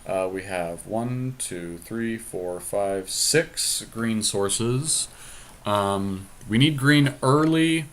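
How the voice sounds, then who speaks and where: A man's voice: lowish voice, extremely slow, slight background noise. A man talking casually through card game deck choices, deliberating and reasoning aloud as he goes. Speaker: podcast host drafting a card game deck.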